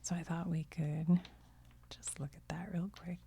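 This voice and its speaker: A middle-aged woman who chats gently in a soft ASMR whisper, often trailing off. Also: low voice, little pitch movement, moderate pace, vocal fry, very noisy. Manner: gentle, chatty, laughs softly, trails off. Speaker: video creator.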